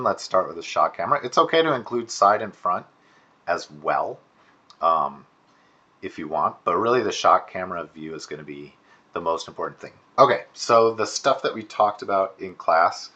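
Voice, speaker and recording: A man's voice: low voice, sharp tone, dull audio. A man giving informal spoken feedback as an instructor, thinking aloud with hedges and restarts, recorded indoors at a computer. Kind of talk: informal spoken critique of student work.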